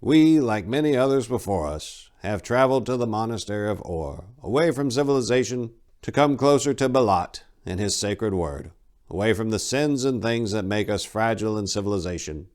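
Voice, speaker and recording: An old elf monk on guard duty, voiced by a male narrator, devout and weary. He lectures in lofty, solemn phrases with a raised pitch, as in a dramatic fantasy storybook reading. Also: animated, normal speed, darkish tone, close to an everyday voice. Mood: solemn, reverent.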